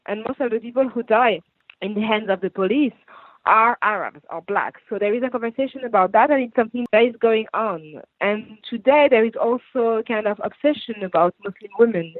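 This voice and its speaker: A woman's voice: mid-range voice, no room sound. A woman from France with a French accent, giving earnest, explanatory answers in a radio interview, often rephrasing as she goes. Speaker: guest writer and activist. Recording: tinny phone line.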